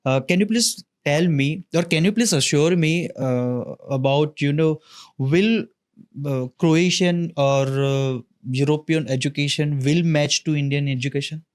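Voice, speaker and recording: A man's voice: a little high, measured pace, a little mellow. A man interviewing a guest, asking earnest questions and rephrasing them as he goes.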